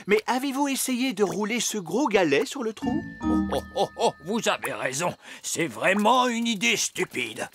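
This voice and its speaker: A man's voice: fairly high pitch, a bit bright, slight background noise. A man voicing fussy, courteous fairy-tale men in a children's cartoon dub. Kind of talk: animated children's series dubbing.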